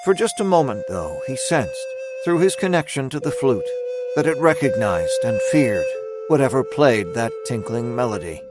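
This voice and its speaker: A man narrating scripted dramatic fiction in a measured storytelling manner. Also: mid-range voice, very animated, loud music behind the voice.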